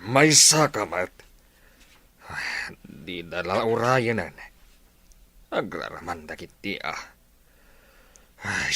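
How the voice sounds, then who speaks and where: Slow, blustering, low-voiced proud leader. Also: male voice, pushed and bright, dry recording, exaggerated voice. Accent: Filipino. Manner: blustering, emphatic. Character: proud, stubborn, ailing village president, indignant and defiant. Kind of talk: Ilocano radio comedy drama acting.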